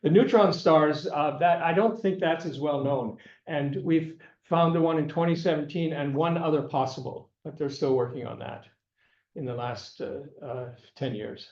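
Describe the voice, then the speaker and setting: An older male lecturer speaks in a slightly high voice, stopping and repeating himself and clearing his throat as his voice gives out. The sound is muffled and lo-fi, carrying the echo of a lecture room. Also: steady tone, moderate pace, a little mellow. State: losing his voice. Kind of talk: classroom lecture. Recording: echoey room, dull lo-fi sound.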